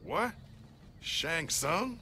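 An actor playing a tough, easygoing fighter in a video game, casual and colloquial in delivery. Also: male voice, a little high, expressive, extremely slow, pushed and bright, a little hiss or hum, heavily acted. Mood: surprised. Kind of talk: video game cutscene acting.

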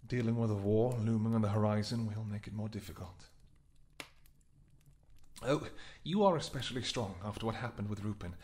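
A man voicing a protective royal vampire husband in a scripted audio roleplay, soft-spoken and affectionate, with hesitant pauses. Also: lowish voice, close to an everyday voice. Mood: tender.

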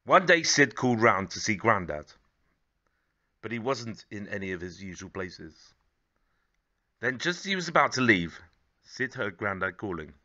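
A man in his thirties narrating a children's story expressively, with measured, gently dramatic phrasing. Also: fairly low pitch, sing-song, dull audio. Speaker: storybook reader.

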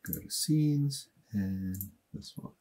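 A man in his thirties speaks in a low, slightly husky voice as he talks through a computer tutorial. He thinks aloud in plain, unhurried speech, with the odd filler. He is recorded at a desk in a small room. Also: animated, mellow. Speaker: automation tutorial host. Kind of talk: casual screen-recorded tutorial.

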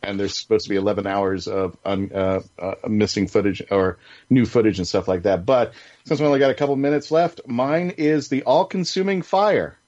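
A man's voice: lowish voice, fairly quick, a little mellow. A man hosting a casual fan podcast, chatting enthusiastically about things he likes. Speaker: podcast host. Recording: dull lo-fi audio.

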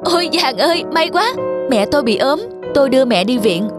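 A high, youthful cartoon boy from a village, dutiful and polite. He speaks earnestly with a worried edge, over prominent music. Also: expressive, brisk. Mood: pleading, grateful. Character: dutiful, worried young village boy caring for his mother. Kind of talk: cartoon dubbing.

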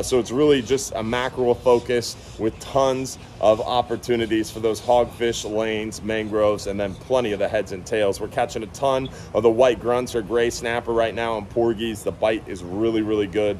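A man's voice: on the low side, fairly even intonation, moderate pace, few pauses, a little mellow, slight background noise. Upbeat man filming himself at the beach. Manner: upbeat, talks straight to camera, repeats words for emphasis. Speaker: marina fishing report host. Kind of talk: casual spoken fishing report. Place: outdoors on a sunny beach by a bridge. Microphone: phone camera at arm's length.

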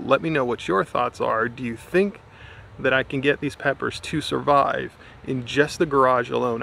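A man in his thirties talks to a handheld camera in his backyard garden, chatty and a little worried as he thinks aloud. His voice sits at an ordinary pitch with a gravelly edge. A faint outdoor hum with distant traffic runs underneath. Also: a bit bright. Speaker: home gardener vlogger. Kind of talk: casual garden vlog. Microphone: camera held at arm's length, outdoors.

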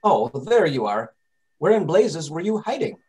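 A man playing a brisk, impatient gentleman detective giving orders in old-time radio drama style. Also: fairly high pitch, sing-song, poor audio. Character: brisk, commanding, impatient English gentleman detective directing rehearsal. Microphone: video call.

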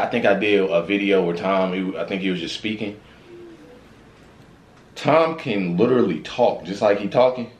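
Low-voiced American man in a roomy space. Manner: slangy, repetitive, conversational. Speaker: reaction video host. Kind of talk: casual reaction commentary. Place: small indoor room with records on the wall. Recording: roomy echo. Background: faint music.

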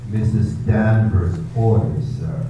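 A man playing a proper, deferential household butler in a staged radio drama, formal and measured. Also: on the low side, dull audio. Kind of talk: readers-theater radio drama acting. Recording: echoey, distant, faint hum.

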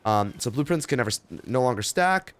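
A young man with a hoarse, worn-out voice, rambling casually through game patch notes as he plays. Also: mid-range voice, expressive, fairly quick. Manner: rambling, offhand, reading patch notes aloud with asides. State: hoarse, tired voice. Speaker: gaming video host. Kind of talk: casual gameplay commentary.

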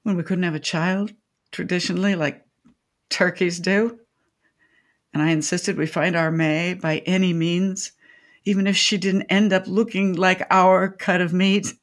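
An actress playing an opinionated, garrulous older widow in a comedic radio play, with a wordy, theatrical, dryly sardonic delivery. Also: female voice, fairly low pitch, steady tone, a little slow, natural voice. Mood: subdued, rueful. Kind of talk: comedic radio drama acting.

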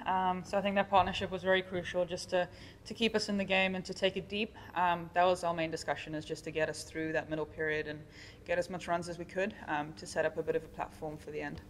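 Young woman cricketer doing post-game chat, lowish voice, bit of room noise. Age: young adult. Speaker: cricket player at post-match press conference. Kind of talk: casual post-match interview answers. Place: indoor press conference room.

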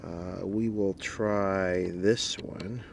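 A man's voice: low voice, fairly even intonation, very slow, vocal fry, slight background noise. A man giving a casual, unscripted hands-on demonstration as a video host, dry and wry in manner. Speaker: video host and radio repair hobbyist.

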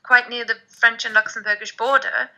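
A woman, chatting as a guest on a video call over a headset, lively and emphatic. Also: on the high side, normal speed. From Germany. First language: German. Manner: lively, emphatic, laughs often. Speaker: guest, language blogger and podcaster. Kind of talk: casual video call chat. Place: home room, indoors. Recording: dull lo-fi video call audio.

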